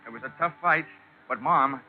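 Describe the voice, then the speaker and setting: An actor playing a wisecracking, hardboiled male investigator in a 1940s radio drama, with a wry, deadpan, tough manner. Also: medium pitch, moderate pace, over loud music, lightly acted. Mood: wry narrating. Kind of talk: 1940s radio detective narration and acting. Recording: dull old 1940s radio recording.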